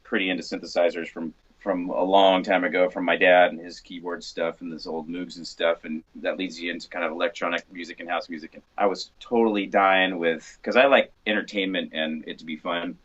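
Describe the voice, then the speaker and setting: A man's voice: sits low, flat delivery, brisk, few pauses, a bit bright. A man chatting casually in a group band interview, slangy and enthusiastic. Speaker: band member being interviewed. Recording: dull, muffled lo-fi sound.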